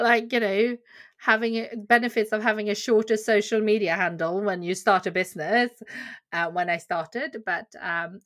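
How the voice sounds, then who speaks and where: A woman's voice: fairly low pitch, a bit bright. A woman from Sweden, a guest on a podcast, chatting casually about her business with fillers and frequent laughs. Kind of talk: casual interview chat.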